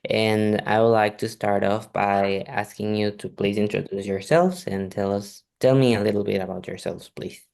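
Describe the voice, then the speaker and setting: A man conducting an oral speaking exam, polite and measured, with occasional restarts. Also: fairly low pitch, fairly even intonation, gravelly, a little mellow. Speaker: examiner.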